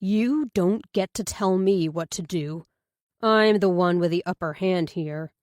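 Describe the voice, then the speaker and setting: A woman's voice: lowish voice, measured pace, darkish tone, no echo, clean studio sound, lightly acted. A woman voicing an angry, bitter, threatening man in an audiobook, curt and defiant. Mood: angry, menacing. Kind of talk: audiobook character dialogue.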